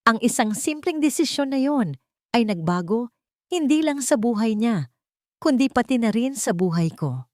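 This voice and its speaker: A woman storyteller voicing a devoted, dignified billionaire husband who speaks in an earnest, measured, formal way. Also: on the high side, expressive, dry recording, clean studio sound, pitched above the performer's own voice, close to an everyday voice. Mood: formal, admiring. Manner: earnest, measured speech-making.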